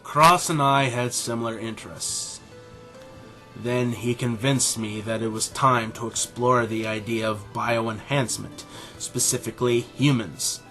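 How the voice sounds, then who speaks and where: A man playing an arrogant, condescending middle-aged animal scientist and father in a scripted audio drama, speaking in a pompous, deliberate way. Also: medium pitch, fairly even intonation, sharp tone, soft background music.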